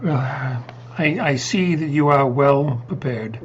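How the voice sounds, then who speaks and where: A flustered, polite funeral director as a man acts him in a stage comedy. He stammers and hesitates at a slow pace, and the recording is dull with faint noise. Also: medium pitch, natural voice. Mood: nervously polite. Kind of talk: comedic readers theater acting. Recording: dull muffled audio with faint hum.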